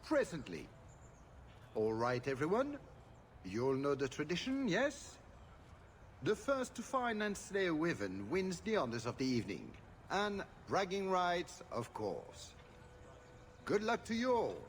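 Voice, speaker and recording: A man's voice: on the high side, wildly swooping pitch, measured pace, pauses often, a little hiss or hum. A man playing an urbane aristocratic duke in a video game, addressing guests in a grand, ceremonious manner. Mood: genial, ceremonious. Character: urbane aristocratic duke hosting a wyvern hunt. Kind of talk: video game cutscene acting.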